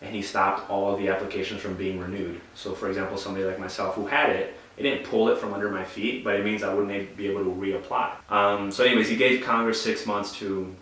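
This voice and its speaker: Young man from Bolivia, low monotone, measured explainer, distant mic in a boomy room. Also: very echoey, slight background noise. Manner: measured, explanatory, some restarts. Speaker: interviewee. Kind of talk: calm explanatory testimony.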